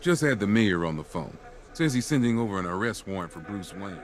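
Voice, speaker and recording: Low-voiced, dry, weary policeman. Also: very animated, fairly quick. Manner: dry, understated. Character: weary, dry-humored male police officer, loyal ally. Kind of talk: video game voice acting. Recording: loud ambient noise behind voice.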